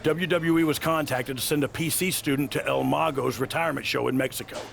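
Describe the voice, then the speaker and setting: A man's voice: mid-range voice, steady tone, brisk, vocal fry, fairly bright tone. A man playing a wrestling trainer in video game cutscene dialogue. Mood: businesslike. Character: wrestling trainer offering a career opportunity.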